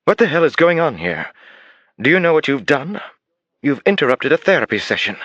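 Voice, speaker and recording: A man's voice: on the low side, animated, moderate pace, fairly bright tone, no room sound, muffled recording, voice pushed up. A man doing a furious, shrieking woman therapist. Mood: indignant. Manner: demanding, near screaming. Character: irate female therapist, frightened and angry.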